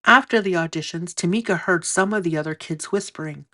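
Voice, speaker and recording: A woman reading a children's picture book aloud as a storyteller, with expressive, deliberate phrasing and drawn-out emphasis. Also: fairly low pitch.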